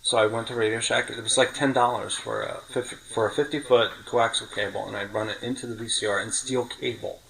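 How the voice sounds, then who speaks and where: A man's voice: medium pitch, steady tone, a little fast, creaky, few pauses, a bit bright, poor audio. A middle-aged man hosting a podcast, chatting casually and telling detailed stories from memory. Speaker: podcast host. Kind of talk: casual reminiscing conversation.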